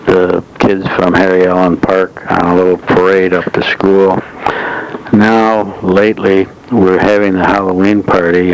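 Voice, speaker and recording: An elderly man recounts local club history in measured, halting phrases, as in a slow oral history. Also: sits low, creaky. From United States, upstate New York. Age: 70s or older. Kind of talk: slow oral history reminiscence, partly as if from notes. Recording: noisy old reel-to-reel tape transfer.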